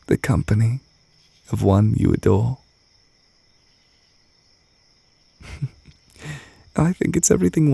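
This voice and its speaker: A man acting a gentle, adoring witch boyfriend in an intimate ASMR roleplay, soft-spoken and tender with a light teasing manner. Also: on the low side, creaky, slightly rough, no echo, full character voice. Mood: tender, cozy.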